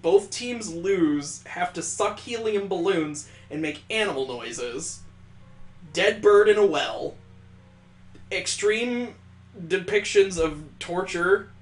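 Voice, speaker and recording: A man giving mocking, sweary commentary as he reads a visual novel aloud on a gaming playthrough. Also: sits high. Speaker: gamer host reading a visual novel aloud. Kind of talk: playthrough commentary mixed with dramatic reading. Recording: echoey, roomy sound.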